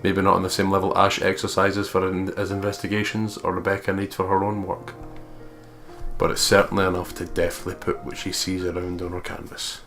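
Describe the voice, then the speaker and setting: A man reads game narration and comments casually. His voice is very low, with a gravelly fry and a flat pitch range. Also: a little fast. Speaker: let's play co-host. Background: game music.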